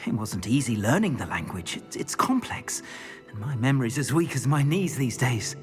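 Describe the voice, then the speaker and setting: An actor playing an anxious, earnest older wizard, a metal trader in a video game. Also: male voice, mid-range voice, normal speed, lightly acted. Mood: rueful, reflective. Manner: earnest, expressive, rueful. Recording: game music behind the voice.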